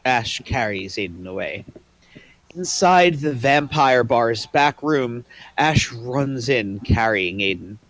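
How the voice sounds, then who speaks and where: A man's voice: mid-range voice, animated, slow, fairly bright tone, dry recording, rough recording. A man narrating the action in a plain, matter-of-fact way. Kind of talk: reading stage directions.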